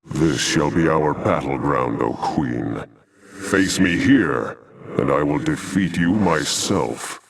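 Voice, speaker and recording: A man voicing a noble, calm alien warrior in a video game, speaking in a formal, archaic, measured way. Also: very deep voice, creaky, a little hiss or hum, exaggerated voice. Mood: resolute. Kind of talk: dramatic video game voice acting.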